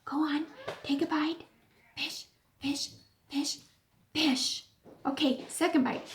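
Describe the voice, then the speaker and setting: A woman voicing a mischievous, excitable boy in a storybook, urging and chanting to egg on a friend. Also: sits high, takes it slowly, a little hiss or hum, voice pushed up. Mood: coaxing, chanting.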